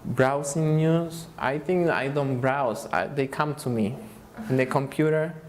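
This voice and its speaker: A man in his twenties from Argentina, answering interview questions in a hesitant, halting way with frequent restarts. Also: medium pitch, steady tone. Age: 20s. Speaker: survey respondent. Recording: faint background noise.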